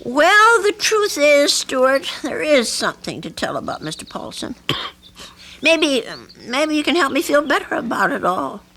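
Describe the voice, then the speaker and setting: A woman's voice: high-pitched, big pitch swings, measured pace, bright, forceful tone, full character voice. A woman playing a kindly, fussing, chatty landlady with a motherly, homely manner in an old-time radio mystery drama. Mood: troubled, confiding. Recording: noisy archival radio drama recording.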